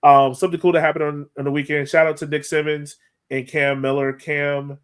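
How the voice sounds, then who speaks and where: A man hosting a sports podcast in casual chat, animated and often repeating phrases for emphasis. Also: on the high side, brisk, clean tone. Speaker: podcast host.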